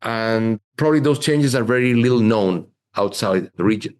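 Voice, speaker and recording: A middle-aged man with a very low voice answers interview questions. He explains at a measured pace, often stopping to say "you know" as he lays out his points. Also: darkish tone. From Chile. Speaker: investment officer guest.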